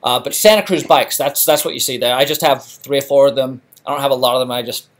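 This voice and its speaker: Middle-aged man from England, casual live-stream host, relaxed chatty delivery with fillers. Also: mid-range voice, fast talker. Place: home garage.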